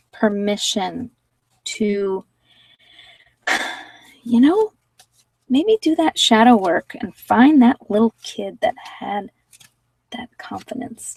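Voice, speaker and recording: A woman giving a casual, halting tarot reading and chatting with viewers as she thinks aloud. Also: medium pitch, creaky, darkish tone, dry recording, recorded from a distance, poor audio. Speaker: host of a live card-reading session.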